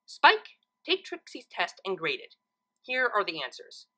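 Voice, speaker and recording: A woman's voice: medium pitch, wildly swooping pitch, a little slow, bright, forceful tone, heavily acted. A woman voicing a smug, bookish female pony who corrects others primly in a story reading. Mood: brisk.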